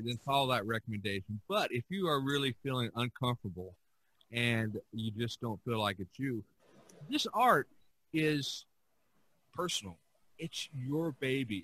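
Bright-voiced man hosting a podcast. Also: medium pitch, rough recording. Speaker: podcast host. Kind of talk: casual podcast discussion.

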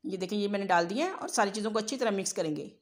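A woman's voice: mid-range voice, racing. A woman narrates a cooking tutorial as a home cook, explaining step by step in an instructional way. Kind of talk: recipe tutorial voice-over.

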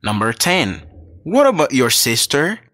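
A man reading scripted English dialogues and exercise questions for a learners' audio book, slow and clearly enunciated. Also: medium pitch, sing-song, a bit bright, dry recording, clean studio sound. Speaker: textbook audio reader.

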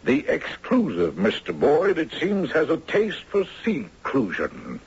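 An older actor playing a shrewd, courtly retired judge in a 1970s radio drama, dry, wry and formally articulate. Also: male voice, on the high side, wildly swooping pitch, takes it slowly, darkish tone, slightly rough, full character voice. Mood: dry, firm. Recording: dull, noisy 1970s radio recording.

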